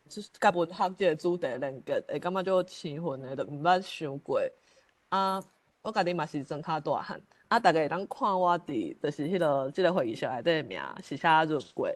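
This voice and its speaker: High-voiced Taiwanese man hosting, dry sound. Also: no room sound. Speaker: forum host. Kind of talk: casual personal sharing while moderating. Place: online meeting.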